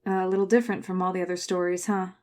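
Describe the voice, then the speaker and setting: An actress playing a guarded, wounded woman who narrates her own story in a measured, confessional audio drama style. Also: lowish voice, level tone, darkish tone, natural voice. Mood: subdued, reflective. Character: Florence, guarded, wounded woman recalling her religious school childhood. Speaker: narrator and lead character. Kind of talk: first-person scripted drama narration.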